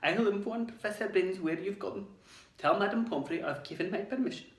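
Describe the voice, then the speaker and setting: A man voicing a stern older female professor who speaks in a croaky, clipped, formal way. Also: sits high, a little fast, pitched above the performer's own voice. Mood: choked, formal. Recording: roomy, distant mic.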